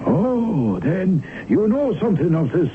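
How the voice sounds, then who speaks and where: Very high-pitched, obliging lawyer. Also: male voice, sing-song, measured pace. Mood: polite, curious. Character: deferential, high-voiced male lawyer or office keeper. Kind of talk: old-time radio character acting. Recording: muffled, noisy vintage radio recording.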